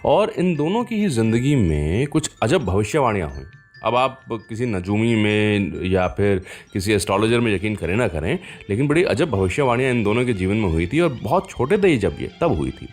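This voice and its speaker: A man's voice: lowish voice, very animated, normal speed. A man with an Indian accent hosting a radio show, telling anecdotes in a chatty, conversational way and speaking directly to listeners. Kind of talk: conversational storytelling presentation of film music. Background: background music.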